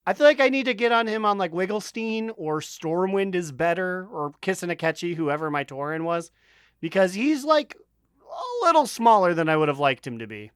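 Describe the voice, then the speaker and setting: A man with a fairly high, very smooth voice hosts a casual podcast. He is jokey and sweary, and the sound is dry and close. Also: big pitch swings, normal speed, no echo. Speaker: podcast host. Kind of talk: casual chat.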